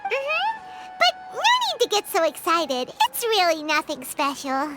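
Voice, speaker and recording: A tiny floating fairy companion from an anime game. The voice is piercingly high and childlike, with very lively pitch swings. The little creature is excitable, boastful and exclamatory, and loud music plays behind. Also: female voice, unhurried, heavily acted. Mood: nonchalant. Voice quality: squeaky, childlike. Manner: speaks of herself in third person, exclamatory. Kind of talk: anime game character acting.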